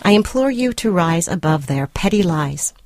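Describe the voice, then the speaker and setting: A woman's voice: on the low side, animated, moderate pace, a little mellow, no room sound, clean studio sound. A woman playing a controlling, self-righteous spurned girlfriend, reading her formal letter in a prim, clinical and condescending manner, as a radio short story. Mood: indignant, accusatory. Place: radio studio.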